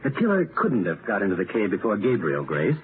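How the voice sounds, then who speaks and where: An actor playing a calm, analytical young American detective in a 1930s radio mystery, speaking in a deliberate, articulate way. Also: male voice, on the low side. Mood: grave, intent. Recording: narrow, noisy 1930s radio broadcast.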